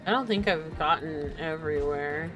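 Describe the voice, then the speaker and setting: A woman's voice: deep voice, moderate pace, muffled recording, music in the background. A woman game streamer giving casual, exclamatory gameplay commentary, often talking to herself.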